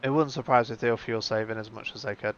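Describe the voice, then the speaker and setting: A man's voice: mid-range voice, steady tone, very fast. Man commentating on a race, muffled audio. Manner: conversational, thinking aloud. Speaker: sim racing commentator and stream host. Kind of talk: casual live race commentary. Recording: muffled lo-fi audio with background noise.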